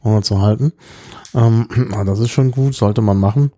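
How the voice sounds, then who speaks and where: Ein Mann, der in einem Podcast locker und mit vielen Füllwörtern von einer Reise erzählt. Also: male voice, on the low side, moderate pace, dark tone. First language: German. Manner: chatty storyteller, many fillers. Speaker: podcast host. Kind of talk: casual chat.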